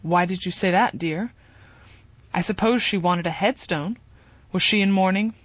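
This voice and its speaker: A woman's voice: on the low side, normal speed, no echo, highs cut off, natural voice. Vrouw speelt kalme, redelijke jongeman. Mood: calm.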